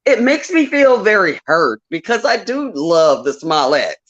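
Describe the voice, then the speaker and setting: A woman's voice: lowish voice, sing-song, measured pace, dull audio. A woman with a Southern US accent, calling into a show and chatting emotionally, laughing often. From Southern United States. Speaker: caller. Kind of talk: casual call-in chat.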